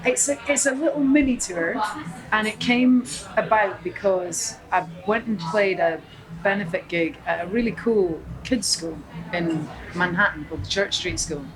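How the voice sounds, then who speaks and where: Scottish woman musician, animated and laughing, with a noisy crowd behind. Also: mid-range voice. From Scotland (St Andrews). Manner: chatty and animated, quick to laugh. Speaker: musician guest. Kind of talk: casual chat. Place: busy public spot in Los Angeles. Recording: loud crowd chatter behind.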